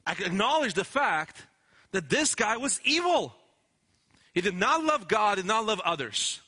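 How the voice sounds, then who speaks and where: A man's voice: sits high, sharp tone. A man preaching a sermon in an earnest, emphatic way, often restarting his words. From Romania. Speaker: pastor.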